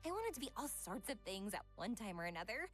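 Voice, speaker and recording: A woman's voice: medium pitch, very animated, fast talker, soft background music, lightly acted. A woman voicing a refined, affectionate young psychologist in a visual novel game, with genteel, careful phrasing. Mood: playful.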